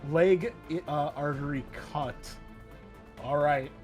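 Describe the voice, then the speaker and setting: A man's voice: fairly high pitch, takes it slowly, faint music behind. A man running a tabletop game, narrating action dramatically in casual, profanity-laced speech. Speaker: game master.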